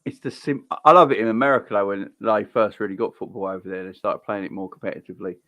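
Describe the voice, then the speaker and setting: A young man co-hosting a football watch-along, chatty and quick to laugh while telling stories. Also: lowish voice, steady tone, dry recording, phone-quality sound. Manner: chatty storyteller, laughs easily. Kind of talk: casual banter.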